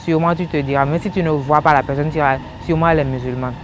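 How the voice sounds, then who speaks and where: A man's voice: fairly high pitch, fairly even intonation, racing. A man from Benin, answering a street interview and explaining his point with examples. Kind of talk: vox pop answer. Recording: outdoor street interview with traffic.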